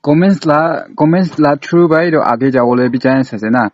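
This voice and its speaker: A man's voice: a little high, fast, darkish tone, no room sound. A man on a casual, confrontational phone call, scolding and accusing insistently. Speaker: prank caller.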